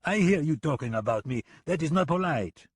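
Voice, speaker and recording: An arrogant gunman who taunts everyone around him, as a male narrator puts him on. The voice rides high and haughty, a little husky, and sits close and dry. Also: lively intonation, a little fast, no echo. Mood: smug. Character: smug foreign male hired killer, mocking and arrogant.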